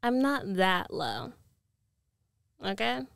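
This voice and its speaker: A woman's voice: medium pitch, sing-song, slow, vocal fry, fairly bright tone, clean tone, dry recording, clean studio sound, full character voice. A woman playing a confident, possessive rich ex-girlfriend in an ASMR roleplay, coaxing and teasing in a self-assured way. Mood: insistent, possessive.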